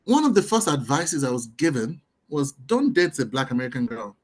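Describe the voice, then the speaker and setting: A man from Nigeria with a light Nigerian accent, a podcast guest telling his story in a quick, animated way. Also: medium pitch. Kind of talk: podcast conversation.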